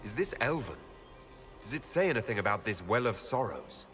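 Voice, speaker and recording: An actor playing a curious, decisive quest leader in a video game, direct and a little wry. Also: male voice, lowish voice, big pitch swings, a little fast, over music. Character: curious, decisive fantasy hero leading a quest.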